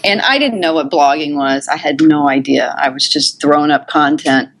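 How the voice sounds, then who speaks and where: Woman from Texas, podcast guest, relaxed chatty delivery, slightly low pitch. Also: normal speed. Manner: chatty and relaxed, with many ums and you-knows. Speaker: podcast guest, makeup artist and travel blogger. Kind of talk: casual interview chat.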